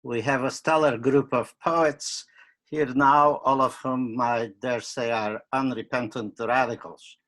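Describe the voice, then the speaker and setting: An older man from Romania giving wry, deadpan opening remarks with many hesitations, on a webcam video call from a book-lined study at home. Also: mid-range voice, unhurried. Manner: wry, deadpan humor with frequent uh fillers. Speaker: curator and poet. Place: home study with bookshelves, indoors.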